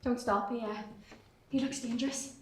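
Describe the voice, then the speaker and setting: A woman's voice: fairly high pitch, steady tone, normal speed, natural voice. An actress playing a fond but wary young girlfriend in a screenplay table read. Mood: alarmed. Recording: roomy theatre, distant from mic.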